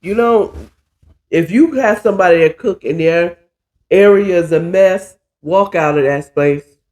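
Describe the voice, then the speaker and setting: A hoarse, stuffy-nosed man hosting a casual chat while cooking, drawling and calling his listeners "child". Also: high-pitched, unhurried, a little mellow. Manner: chatty, drawling, says "child" a lot. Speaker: podcast host.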